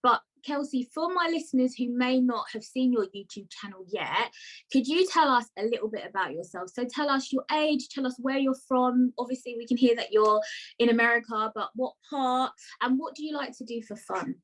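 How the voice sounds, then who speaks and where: A woman's voice: fairly high pitch, normal speed, fairly bright tone, poor audio. A British woman hosting a podcast, chatty and friendly as she asks her guest questions. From United Kingdom. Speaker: podcast host. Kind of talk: casual podcast chat.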